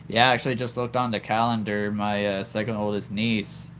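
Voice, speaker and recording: A man's voice: on the low side, little pitch movement, a little fast, fairly bright tone, slight background noise. A man hosting a livestream in casual chat, laughing often and stammering over repeated words. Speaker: livestream host.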